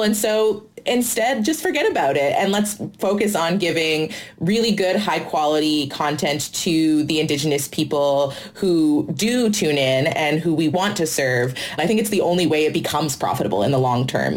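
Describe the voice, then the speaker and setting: Low-pitched woman in casual discussion. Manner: conversational, opinionated, frequent "like".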